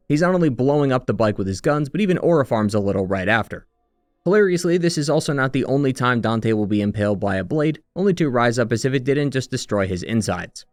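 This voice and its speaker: Male narrator for a long-form gaming video essay. Mid-pitched voice, scripted analytical read, very dry recording. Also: no room sound. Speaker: narrator of a video game retrospective.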